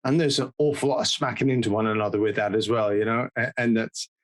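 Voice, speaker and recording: A man's voice: fairly low pitch, quick pace. A man hosting an online interview. He talks in a friendly, chatty way and often drifts into loose, rambling asides between questions. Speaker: interview host. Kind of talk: channel host interviewing a guest.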